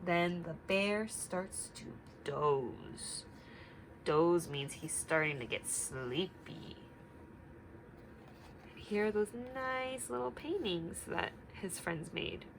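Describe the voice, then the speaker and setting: A woman's voice: mid-range voice, gravelly, a little hiss or hum, poor audio. A woman teacher reading aloud to young children, expressively, with simple explanations and questions to her listeners.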